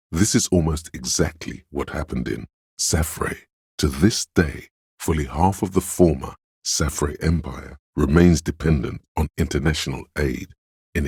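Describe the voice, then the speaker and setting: A man voicing an erudite, composed know-it-all inner voice in a video game, delivering measured, precise, matter-of-fact exposition. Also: no room sound, studio quality, exaggerated voice. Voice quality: very deep, gravelly, husky. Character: encyclopedic inner voice, a learned, composed male know-it-all narrator. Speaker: game voice actor. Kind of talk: scripted game narration, expository.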